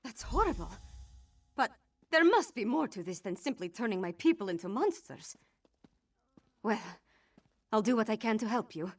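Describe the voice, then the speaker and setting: A woman's voice: fairly high pitch, sing-song, normal speed, pauses often. A woman voicing a headstrong young princess in a fantasy video game, forthright and emphatic in her delivery. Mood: grave, resolute. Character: headstrong young princess, grieving and righteously indignant. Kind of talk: video game dialogue acting.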